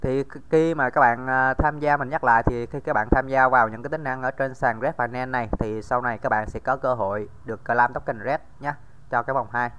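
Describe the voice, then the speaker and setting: Smooth-voiced man walking through a screen tutorial. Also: medium pitch, fairly even intonation, a little fast, a bit bright. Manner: casual, many fillers, addresses viewers directly. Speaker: crypto tutorial host. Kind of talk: screen-recorded tutorial walkthrough.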